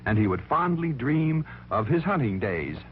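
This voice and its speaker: Animated male storyteller on a thin vintage recording. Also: medium pitch, moderate pace, very noisy. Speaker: narrator. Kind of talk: dramatic scripted storytelling for children. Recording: thin, phone-like vintage recording with music behind. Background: music.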